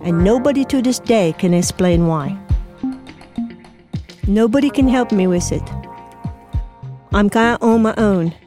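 Woman storyteller, foreign-sounding accent, mid-range pitch, emotional and halting delivery, clear music bed underneath. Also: animated. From Indiana, USA. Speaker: storyteller, interviewee. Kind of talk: personal storytelling.

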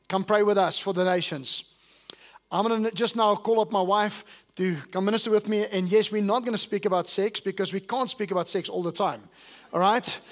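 A male pastor, whose first language is not English, preaching a sermon to his congregation and asking them questions. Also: very high-pitched. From South Africa. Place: church service.

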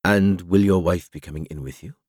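A man voicing a gently awkward hospital consultant. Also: low voice, fairly quick, dry recording, studio quality. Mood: gentle, grave. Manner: gentle, hesitant, stumbling politeness. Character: hospital consultant, kindly, awkward, breaking bad news. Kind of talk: audiobook character dialogue.

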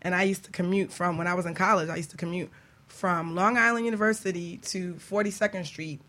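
A woman's voice: fairly low pitch, fairly even intonation, a little fast, fairly bright tone. A woman hosting a talk show in a chatty, joking, casual way. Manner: chatty, joking, laughs often. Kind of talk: casual chat.

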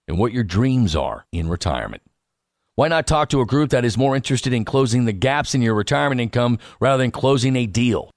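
A man reading a scripted radio advertisement with a smooth, persuasive delivery. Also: mid-range voice, no echo, clean studio sound. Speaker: ad announcer.

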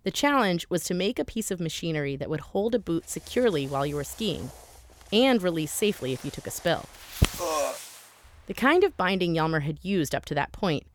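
Low-voiced woman narrating a story. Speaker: host. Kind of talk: scripted documentary narration.

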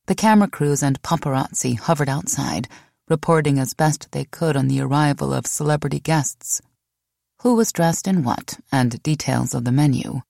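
A woman reading a memoir as an audiobook narrator, in a measured, expressive storytelling manner. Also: low voice, vocal fry, dry recording, studio quality.

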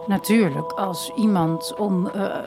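A woman voicing an awkward, polite male consultant who speaks formally and haltingly in a radio story. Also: mid-range voice, over music.

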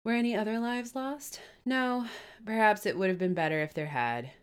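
A woman's voice: mid-range voice, moderate pace, clear, resonant tone, lightly acted. A woman voicing a grave middle-aged male innkeeper who speaks in plain, old-fashioned country words and is deferential and sorrowful.

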